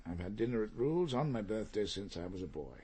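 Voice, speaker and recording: An actor playing a fussy, prickly barrister, pompous and precise, in a radio crime drama. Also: male voice, on the low side, very animated, fast, mellow, breathy edge. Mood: prim, defensive. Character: fussy, prickly, solitary male barrister, set in his ways.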